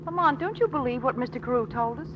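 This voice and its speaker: Quick, wry female companion, expressive, insistent, old radio acting with faint noise. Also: high voice. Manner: wry, pressing. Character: sharp, insistent woman companion, dryly witty. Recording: dull, noisy old-time radio recording.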